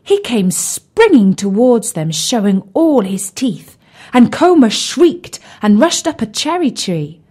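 A woman narrating a children's fairy tale as a storyteller, with an expressive, measured delivery. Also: on the high side, slightly rough. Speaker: storyteller, audiobook reader.

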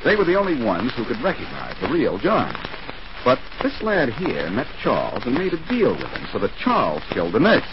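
A man's voice: mid-range voice, wildly swooping pitch, fairly quick. A man playing a wisecracking, self-assured amateur detective in a glib, needling 1940s radio acting style. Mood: assured, explaining. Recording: muffled, noisy 1940s radio recording.

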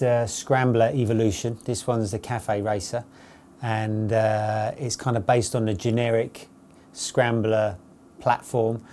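A man's voice: medium pitch, dark tone, a little hiss or hum. A man in his forties giving an unscripted, matter-of-fact spoken review as a motorcycle road tester. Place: studio with dark backdrop, seated at table.

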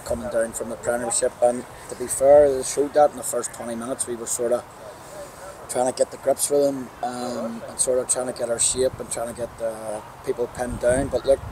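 Northern Irish football manager, flat delivery. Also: male voice, medium pitch, brisk, a crowd in the background, rough recording. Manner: plain-spoken, upbeat, restarts phrases often. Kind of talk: post-match interview. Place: outdoors at a football ground. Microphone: clip-on lapel mic. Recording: outdoor background noise.

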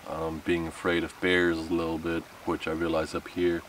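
Male outdoor vlogger, thirties, deep voice, casual rambling delivery, recorded a few metres from the mic. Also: monotone, normal speed, fairly bright tone. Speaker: camping vlogger. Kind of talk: casual vlog talk to camera. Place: outdoor wooded campsite. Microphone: camera a few metres away. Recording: distant mic with outdoor background noise.

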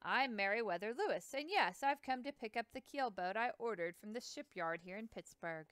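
An eager young explorer, polite and earnest, his words tumbling out in a rush, as a woman reads him aloud. The voice is smooth and clear, with a lively, rising and falling pitch, set close and dry like a storybook reading. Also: normal speed, no echo. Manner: earnest, courteous, speaks in a rush.

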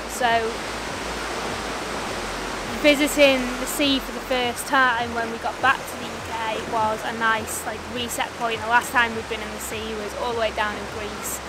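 Chatty young woman by loud surf. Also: a little high. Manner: thoughtful, chatty, trailing restarts. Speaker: travel vlogger. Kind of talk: casual reflective vlog chat. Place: outdoors on a rocky seashore. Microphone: camera held at arm's length. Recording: loud surf and wind noise. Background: crashing waves.

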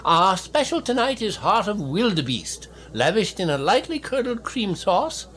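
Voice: medium pitch, big pitch swings, slow pace, fairly bright tone. An actor playing a campy, pretentious waiter in a radio comedy sketch, with a theatrical manner. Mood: pompous, playful. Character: campy, pretentious waiter with fancy French name.

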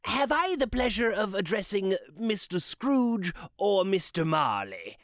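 A man voicing a polite, earnest gentleman collecting for charity, formal and a little hesitant, in a storybook reading. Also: high-pitched, sing-song, a little slow, no room sound, studio quality, voice pushed up, full character voice. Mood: courteous.

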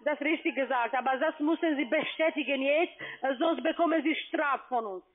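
A woman's voice: high-pitched, moderate pace. A non-native woman arguing insistently as a call-centre manager over a phone line. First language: not German. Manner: insistent, interrupting. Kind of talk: phone argument. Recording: tinny narrowband phone line.

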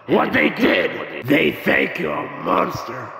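A manipulative cartoon villain with an extremely gravelly, sharp voice. He taunts slowly and menacingly, and the words ring in long reverb. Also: fairly high pitch, lively intonation, full character voice. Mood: taunting menace. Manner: slow, taunting. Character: sinister, manipulative male villain goading with menace. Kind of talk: comic dub voice acting. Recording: heavy echoing reverb.

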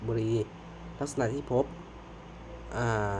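A man's voice: slow, noisy background. A man giving casual, exclamatory reaction commentary to horror clips, swearing and muttering asides to viewers. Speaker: reaction video host.

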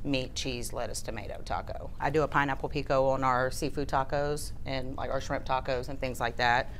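Low-voiced easygoing woman chef. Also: fairly even intonation, moderate pace, a little hiss or hum. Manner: easygoing, lots of "you know". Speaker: head chef. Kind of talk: TV guest interview. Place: TV studio kitchen set.

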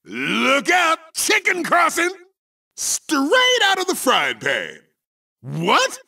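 An actor voicing a brash, boastful male chicken kart racer in a video game, with a cartoonish, squawky, shouty delivery. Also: sits high, wildly swooping pitch, drawn-out words, creaky, far from the mic, full character voice. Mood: cocky. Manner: cocky, boastful, wisecracking. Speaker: game character.

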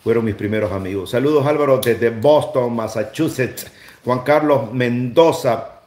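The sound is roomy and echoey, recorded indoors a little way from the device. A middle-aged man with a Salvadoran accent hosts a livestream in a slightly low voice. He talks casually and comes back to the same phrases to drive them home. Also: a little mellow. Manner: repeats phrases for emphasis. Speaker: livestream host. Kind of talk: casual livestream chat and commentary. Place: small room indoors.

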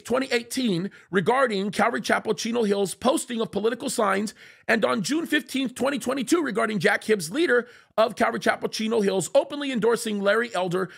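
Fiery high-voiced man, gravelly edge. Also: fairly bright tone. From United States. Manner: indignant, emphatic, reads quotes aloud. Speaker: pastor commentator. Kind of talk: fiery commentary. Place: small dark studio, leather chair. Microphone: studio mic on boom in front.

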